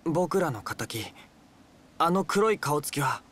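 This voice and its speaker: A man's voice: fairly high pitch, expressive, takes it slowly, fairly bright tone, exaggerated voice. Teenage boy hero, earnest, intense, swinging intonation, video game cutscene. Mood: grim, resolute.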